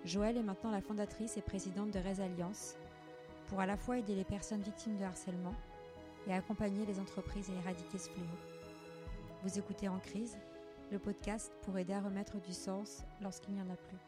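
A woman's voice: mid-range voice, little pitch movement, a little fast. A woman reading a scripted podcast introduction. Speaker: podcast narrator. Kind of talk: scripted narration over music. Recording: narration with clear music behind.

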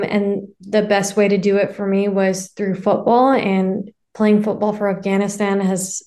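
A woman's voice: medium pitch, mellow. A woman, a guest talking earnestly with fillers like "you know.". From Canada. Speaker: guest, footballer. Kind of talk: casual interview talk.

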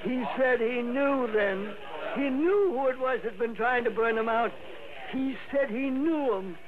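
Frail old American watchman, very high voice, plaintive and rustic; tinny vintage radio drama. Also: measured pace, a crowd in the background. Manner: rustic, repetitive, plaintive way of talking. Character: frail little old night watchman, distraught and vengeful.

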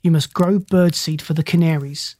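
A woman voicing a kindly but stern male animal doctor in a children's storybook, speaking in measured, polite commands. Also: sits low, steady tone, mellow.

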